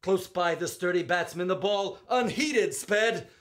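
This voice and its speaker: A man performing as a poetry reader, with a theatrical, emphatic storytelling delivery and drawn-out words. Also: high-pitched, animated, fairly bright tone. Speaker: performer reading poetry aloud. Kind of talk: dramatic poetry recitation with spoken introduction.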